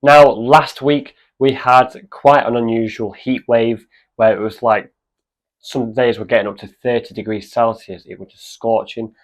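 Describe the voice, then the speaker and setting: A man with a cold and a slightly croaky voice, chatting casually in a gardening vlog. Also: medium pitch, unhurried. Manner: chatty, relaxed, with many fillers. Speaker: allotment vlogger. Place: outdoors at an allotment.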